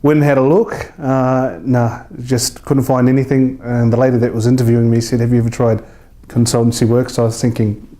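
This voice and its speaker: A New man in his thirties talks informally in an interview. His voice is dark and very relaxed, at an average male pitch. He is colloquial and a little hesitant, like an estate agent having a casual chat. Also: brisk. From New Zealand. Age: 30s.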